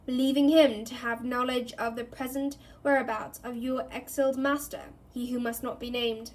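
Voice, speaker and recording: A woman's voice: a little high, little pitch movement, normal speed, lightly acted, rough recording. A woman voicing a stern, cold male judge who bellows in formal speech. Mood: stern bellowing.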